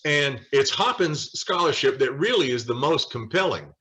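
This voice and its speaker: A mature man with a bright, forward voice at a medium pitch leads a study group over a video call. He lectures informally, slipping into animated storytelling and acting out dialogue as he goes. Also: normal speed. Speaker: Bible study leader. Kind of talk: informal lecture with personal anecdotes.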